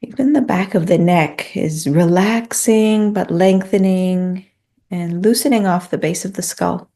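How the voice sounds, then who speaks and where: A woman guides a meditation in a gentle, soothing way. Her voice sits a little low and is dark and relaxed, with noticeable creak. She takes her time with the phrases. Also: a little slow.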